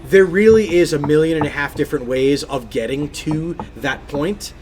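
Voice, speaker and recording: Emphatic, higher-pitched man on a gaming podcast. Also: normal speed, a little mellow, slight background noise. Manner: emphatic, effusive, repeats phrases for stress. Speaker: podcast co-host. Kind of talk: casual video game podcast chat.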